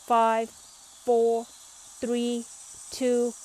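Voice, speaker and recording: Storybook robot cat, played by a woman: eager, boastful, booming and declarative, faint noise behind. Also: completely flat delivery, extremely slow, darkish tone. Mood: eager, loud. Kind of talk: children's storybook read-aloud.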